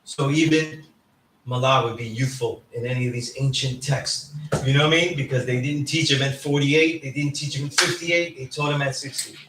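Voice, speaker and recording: A man's voice: medium pitch, steady tone, moderate pace. Echoey, emphatic New man teaching. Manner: emphatic, often checks in with "right?". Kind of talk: informal class lecture. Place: indoor classroom. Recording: echoey room, distant mic, background noise.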